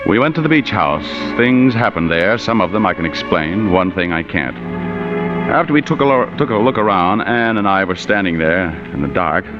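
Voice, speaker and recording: A man's voice: sits low, expressive, moderate pace, music in the background. A man acting a cynical, tough private detective in 1940s radio noir style, with clipped, sardonic slang. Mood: wry narration. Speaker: actor in a radio drama. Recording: muffled, noisy old radio broadcast.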